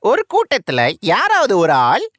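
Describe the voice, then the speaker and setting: A woman's voice: sits high, wildly swooping pitch, normal speed, no room sound, studio quality. A woman voicing a sly, goading villain eagle in a children's cartoon, theatrical and insistent. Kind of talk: Tamil children's cartoon dubbing.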